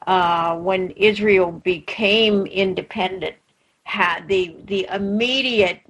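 A woman speaks slowly and with deliberation in an informal group discussion. She breaks her thoughts into short phrases, pausing as she explains. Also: mid-range voice, a bit bright, poor audio. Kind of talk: measured book recommendation in group discussion.

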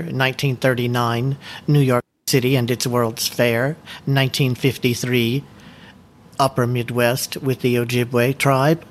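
Measured man presenting, noisy recording. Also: mid-range voice. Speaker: book discussion co-host. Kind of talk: informal presentation to a book club.

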